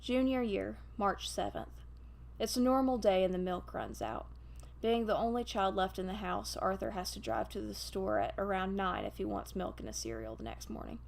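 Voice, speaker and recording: Creaky, wistful guardian angel read by a woman, gentle and sad, telling a story close up. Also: mid-range voice, expressive, moderate pace. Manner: wistful, intimate first-person storytelling. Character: gentle, grieving male guardian angel narrating his human's life.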